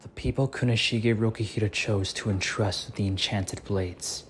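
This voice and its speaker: A man voicing a calm, serious young swordsman in an anime fan dub, speaking in a quiet, steady, matter-of-fact way. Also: fairly low pitch, moderate pace, soft background music, natural voice.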